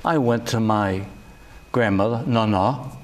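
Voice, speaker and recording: An older man hosting a political talk show, speaking slowly and deliberately with frequent pauses and fillers. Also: on the low side. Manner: slow and deliberate, many uh fillers. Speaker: host.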